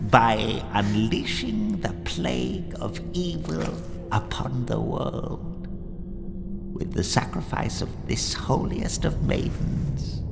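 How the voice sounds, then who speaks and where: Slow, gloating, creaky old villain bishop. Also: male voice, a little high, background noise, heavily acted. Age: older. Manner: drawn-out, grandiose, swooping from murmurs to shouts. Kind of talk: dramatic video game villain monologue. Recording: long cavernous reverb under loud music.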